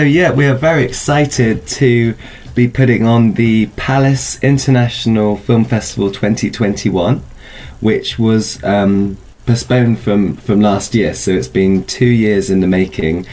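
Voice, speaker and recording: A man's voice: lowish voice, darkish tone, rough recording. A man speaking as an event organiser in an informal interview, explaining things in a loose, hedging way. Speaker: film festival organiser, interview guest.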